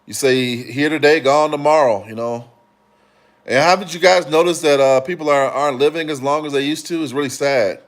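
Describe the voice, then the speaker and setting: A man from Chicago hosting a casual livestream, answering comments in a relaxed, rambling way with frequent fillers and restarts. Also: a little high, normal speed. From Chicago, Illinois. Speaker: livestream host.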